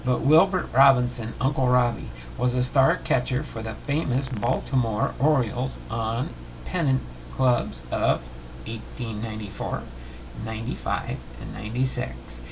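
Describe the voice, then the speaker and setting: Gravelly-voiced man hosting a livestream. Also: mid-range voice, measured pace, background noise. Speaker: host of a baseball biography livestream. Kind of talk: casual livestream talk and reading aloud a biography.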